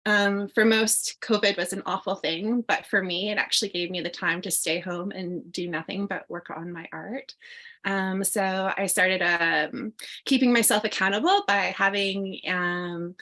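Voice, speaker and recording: A woman's voice: medium pitch, steady tone, measured pace, bright, forceful tone. A middle-aged woman introducing herself as an artist on an online panel, friendly and using fillers. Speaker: mosaic artist guest. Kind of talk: self-introduction in a panel talk.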